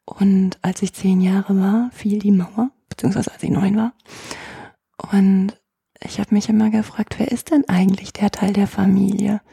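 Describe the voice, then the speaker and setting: A woman in her forties hosts a podcast, chatting casually with her guest. She speaks softly, often almost in a whisper. Her voice is husky and gravelly, with a mellow, dark tone. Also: mid-range voice, level tone, normal speed. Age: 40s. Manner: soft-spoken, often half-whispered. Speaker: podcast host. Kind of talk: casual interview chat.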